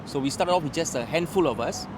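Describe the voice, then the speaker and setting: A man from Singapore, a fan club member, talking football in an animated, confident way with many fillers. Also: a little high, quick pace, background noise.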